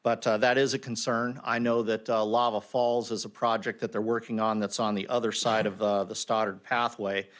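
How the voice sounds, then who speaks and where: A man's voice: fairly low pitch, flat delivery, normal speed, few pauses, fairly bright tone. A man speaking unscripted at a public hearing as a local resident, plainspoken, with frequent ums and uhs. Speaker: resident giving public comment.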